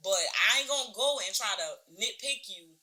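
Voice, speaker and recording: A woman co-hosting a podcast in casual chat, opinionated and animated, with a strong voice that she says can come off as aggressive, and she laughs often. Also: on the high side, normal speed, rough recording. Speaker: podcast co-host.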